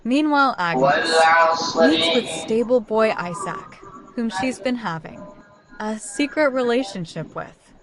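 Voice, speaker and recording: A woman's voice: medium pitch, animated, slow pace, music in the background. A woman narrating a scripted story recap, telling events in order as a storyteller.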